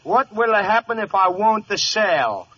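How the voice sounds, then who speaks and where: An actor playing a cowed, incredulous shoe store owner in an old radio comedy. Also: male voice, sits high, very animated, measured pace, fairly bright tone, a little hiss or hum, full character voice. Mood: incredulous, nervous. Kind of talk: 1940s radio comedy acting. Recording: dull, band-limited old radio recording.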